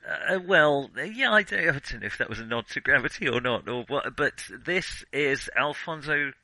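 A man co-hosting a casual film podcast, talking in a halting, self-interrupting way with a jokey, self-deprecating streak. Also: medium pitch, animated, bright, forceful tone, dry recording, rough recording. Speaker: podcast co-host.

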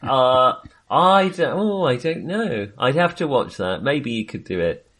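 A man's voice: mid-range voice, expressive, a little slow, fairly bright tone. A man co-hosting a film podcast, chatting casually and tentatively, often putting things as questions.

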